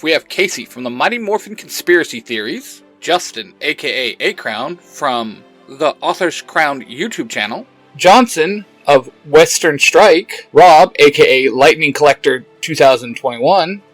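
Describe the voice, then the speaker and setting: A man hosting his own podcast, reading out credits and giving shout-outs. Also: fairly high pitch, dry recording, low-quality recording. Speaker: podcast creator and host.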